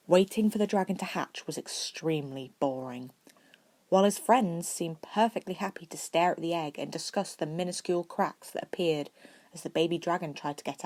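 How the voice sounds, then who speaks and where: A woman with a low-pitched voice narrates fiction. She reads from a script in an amateur audiobook manner, with the odd stumble that she corrects. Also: a little mellow. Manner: reading aloud with stumbles and corrections.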